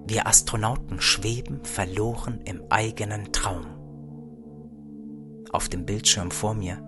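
An actor playing a dreamy, awestruck astronaut co-pilot in a radio play, wistful and eager. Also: male voice, lowish voice. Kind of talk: radio play acting with poetic monologue.

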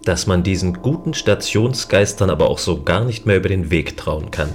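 A man's voice: low-pitched, animated, normal speed, faint music behind. A man playing a stern space guardian in a science fiction audio drama, firm and commanding. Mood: stern, suspicious. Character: stern male space guardian enforcing a planet's quarantine.

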